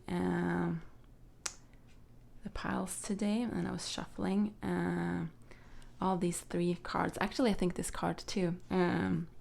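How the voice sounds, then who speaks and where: Soft-spoken woman with a gravelly low voice. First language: Swedish. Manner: soft, hesitant, many fillers. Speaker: tarot reader. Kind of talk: pick-a-card tarot reading.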